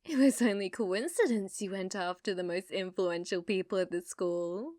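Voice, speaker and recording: A woman playing a sadistic, haughty young noblewoman villainess in an ASMR roleplay, taunting theatrically and often dropping into breathy whispers. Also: medium pitch, normal speed, a little mellow, dry recording, clean studio sound, natural voice. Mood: sly, threatening.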